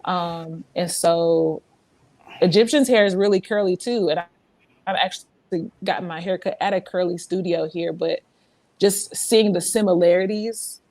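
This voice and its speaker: Soft-spoken Southern woman, lowish voice. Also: steady tone, normal speed. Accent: Southern American. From South Carolina, USA. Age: young adult. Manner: earnest, reflective, many fillers and restarts. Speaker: podcast guest, teacher abroad. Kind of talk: casual podcast conversation.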